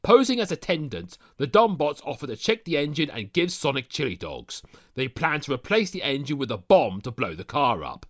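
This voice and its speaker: A man's voice: medium pitch, sing-song, moderate pace. A man narrating a scripted comic story recap, dropping sardonic asides to the listener. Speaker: narrator of a fan audio story.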